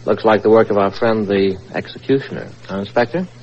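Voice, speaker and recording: An actor playing a weary, nosy newspaper reporter with a sardonic, slangy manner in an old-time radio mystery. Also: male voice, fairly low pitch, a little fast, a little mellow, close to an everyday voice. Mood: prying. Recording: muffled vintage radio recording.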